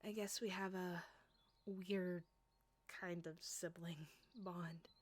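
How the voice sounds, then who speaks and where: Soft-spoken teasing woman, slow and close. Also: fairly low pitch, fairly even intonation, dry recording. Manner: playful, teasing, often whispering close to the mic. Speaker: voice actress performing an audio roleplay. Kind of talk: flirty ASMR roleplay.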